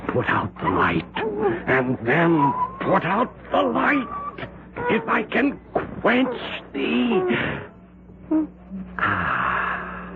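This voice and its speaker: An actor playing a jealous husband who recites verse with brooding menace in a 1970s radio drama. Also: male voice, high voice, wildly swooping pitch, extremely slow, exaggerated voice. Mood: menacing. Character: jealous actor husband smothering his wife. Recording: tinny old radio broadcast.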